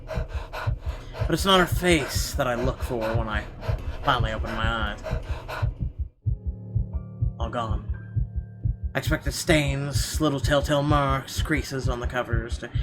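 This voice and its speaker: A man hosting a let's-play, reading visual novel narration aloud in an expressive, dramatic way. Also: mid-range voice, slow, long pauses. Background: game music.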